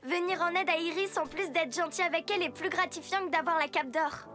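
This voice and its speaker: A woman's voice: extremely high pitch, a little fast. A woman voicing an earnest, kind young girl superhero in a cartoon. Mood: earnest, sincere. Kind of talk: French cartoon dubbing.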